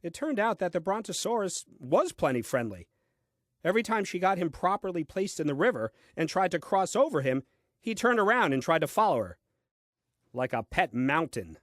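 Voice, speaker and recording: An actor playing a literal-minded, puzzled and grumbling Adam in a comic diary-style audio play, with a deliberate, dryly pedantic delivery. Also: male voice, high-pitched, sing-song, fairly bright tone, no room sound. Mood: exasperated. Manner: deliberate, theatrical, dry and pedantic.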